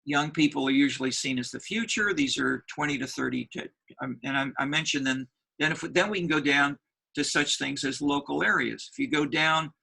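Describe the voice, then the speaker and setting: A man lecturing a university class, halting often and repeating words as he restarts his sentences. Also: fairly high pitch, vocal fry, bright, forceful tone, low-quality recording. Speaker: university professor.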